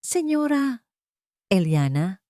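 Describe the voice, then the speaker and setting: A woman's voice: medium pitch, very animated, slow pace, dark tone, dry recording, clean studio sound, voice pushed up. A woman voicing a devoted older housemaid, anxious and urgent, in a dramatic audio story. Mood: shocked, distressed.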